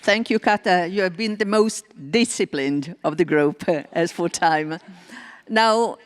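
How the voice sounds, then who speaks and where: A moderator running a panel discussion, polite and brisk with fillers. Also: medium pitch. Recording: reverberant hall, audience behind.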